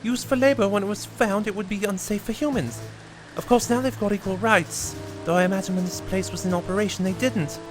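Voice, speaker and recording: A man's voice: high voice, over music, voice pushed up, natural voice. A man voicing a flustered male time traveller who talks hurriedly and muses aloud. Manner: quick, musing, breathless.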